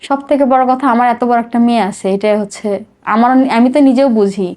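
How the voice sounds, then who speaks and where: Bangladeshi woman, middle aged, kinda high voice, talks fast, pours out her life story with lots of feeling. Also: a little mellow. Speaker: podcast guest telling her life story. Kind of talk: personal confessional interview.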